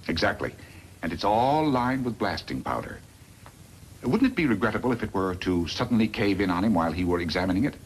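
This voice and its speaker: An actor playing a scheming, sardonic villain boss in a 1950s radio western, insinuating and bossy. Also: male voice, fairly low pitch, big pitch swings, a little fast. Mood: sly, calculating. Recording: noisy vintage 1950s radio recording.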